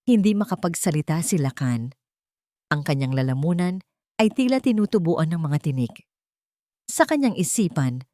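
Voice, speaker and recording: Female storyteller, slightly low register, dramatic scripted read, dry studio-like sound. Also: a little mellow, no room sound. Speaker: story narrator.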